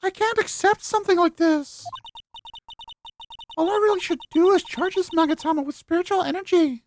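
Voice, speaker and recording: A man voicing a polite, earnest little girl spirit medium for a video game, speaking in a refined, halting way. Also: extremely high pitch, a little hiss or hum. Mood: shy, earnest. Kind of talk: video game dialogue voice-over.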